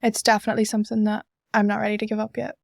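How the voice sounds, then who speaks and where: Young woman, talks fast, fairly even highish voice, casual podcast guest. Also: gravelly, no room sound, studio quality. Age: young adult. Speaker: podcast guest, student society organiser. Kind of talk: casual conversational interview.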